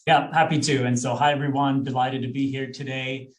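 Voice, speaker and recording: A man's voice: mid-range voice, level tone, a little fast. A man, an executive recruiter speaking as a webinar guest in a friendly, explanatory way with frequent fillers and restarts over a video call. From Sweden. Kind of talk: webinar presentation.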